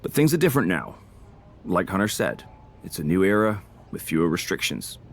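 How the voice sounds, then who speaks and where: A deep, sly wrestler. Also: male voice, very animated, fairly quick, vocal fry, heavily acted. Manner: friendly but needling. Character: sly male wrestler scheming over a draft pick. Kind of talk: backstage conversation.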